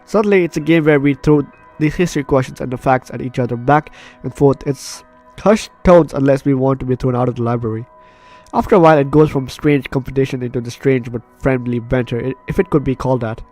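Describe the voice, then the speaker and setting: A man's voice: medium pitch, brisk, darkish tone, soft background music. A man doing a let's play, reading a visual novel aloud and breaking off into playful, chatty asides. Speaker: gaming YouTuber. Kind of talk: let's play, reading game text aloud with casual reactions.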